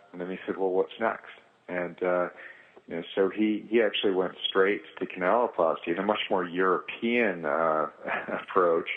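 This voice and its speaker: A man with a very low voice explains his surgical experience on a conference call. He talks in halting stretches, pausing as he searches for the next phrase. The sound is narrow and thin, as over a telephone line. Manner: halting, hesitant phrasing with pauses. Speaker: eye surgeon, guest speaker on a conference call.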